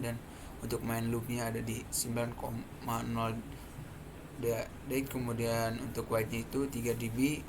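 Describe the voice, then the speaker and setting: Seorang pria muda berlogat Indonesia menjelaskan demonstrasi perangkat lunak sebagai mahasiswa presenter, bicaranya tersendat-sendat. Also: male voice, mid-range voice. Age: young adult. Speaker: student presenter. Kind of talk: explanatory software demonstration. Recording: clearly noisy background.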